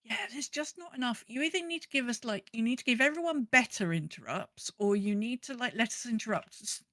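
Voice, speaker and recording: A woman's voice: fairly high pitch, lively intonation, a little fast, a bit bright, no room sound. A woman co-hosting a podcast, chatting casually and informally, with emphatic repetition. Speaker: podcast co-host. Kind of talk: casual gaming podcast chat.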